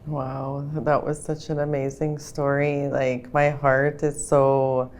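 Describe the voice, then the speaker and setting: Low, flat-voiced woman host. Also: slow, slight background noise. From Norway House, Manitoba, Canada. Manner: earnest, searching, with fillers and restarts. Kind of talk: casual reflective talk.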